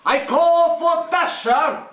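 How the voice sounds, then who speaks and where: A man's voice: very high voice, slow, fairly bright tone, exaggerated voice. A man acting a pompous North Pole magistrate on stage, speaking in a grandly formal, declamatory way. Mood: solemn, commanding. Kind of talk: theatrical stage monologue. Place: indoor theatre stage. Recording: echoey theatre, distant mic, faint noise.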